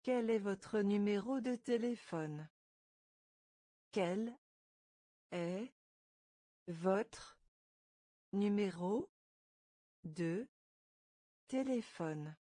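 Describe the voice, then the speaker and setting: Woman language teacher, very slow and deliberate, breaks phrases into words then says them whole; dry recording. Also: medium pitch, lively intonation, warm tone, no room sound. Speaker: French teacher. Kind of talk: slow phrase-by-phrase pronunciation drill.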